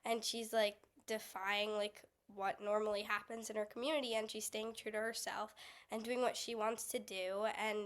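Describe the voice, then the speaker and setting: A woman's voice: medium pitch, flat delivery, moderate pace. A female guest speaking casually in an interview, often saying like. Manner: informal, uses like often. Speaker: young actor guest. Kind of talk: casual chat.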